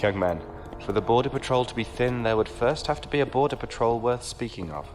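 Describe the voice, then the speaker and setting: A man's voice: mid-range voice, animated, a little fast, music in the background, natural voice. A man playing a genteel knight who talks in a courtly, patronizing way with dry wit. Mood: wry. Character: genteel, condescending male knight with a ship.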